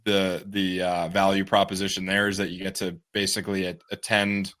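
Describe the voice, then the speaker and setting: Laid-back guy cohosting a news podcast, lowish voice, laughs a lot. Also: completely flat delivery, moderate pace, a bit bright. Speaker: podcast co-host. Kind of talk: casual news chat.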